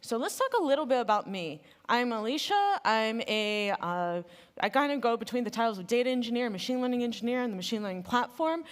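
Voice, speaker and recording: A woman's voice: a little high, expressive, brisk. An American woman giving a casual, enthusiastic conference talk on machine learning, speaking loosely with many fillers. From United States. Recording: reverberant hall.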